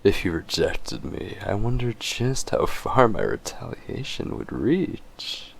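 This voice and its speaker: Man playing a deep, gravelly, possessive vampire. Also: big pitch swings, heavily acted. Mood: menacing, possessive. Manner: slow, teasing, smug, often chuckling. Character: possessive, condescending male vampire, obsessed and menacing. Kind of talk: dramatic character audio roleplay.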